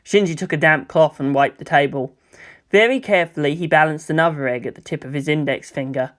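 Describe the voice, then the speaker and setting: A man's voice: on the high side, brisk, fairly bright tone. A man reading scripted fiction aloud as a storyteller, in the style of an audiobook narrator.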